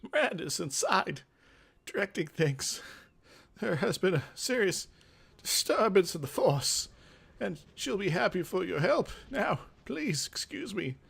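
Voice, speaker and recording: A fussy male mage played by a man reading a game aloud, pitched well up high. He sounds airy and patronizing, a theatrical fantasy craftmaster who talks down to newcomers. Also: big pitch swings, measured pace, creaky, fairly bright tone, slightly rough, full character voice. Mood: politely dismissive.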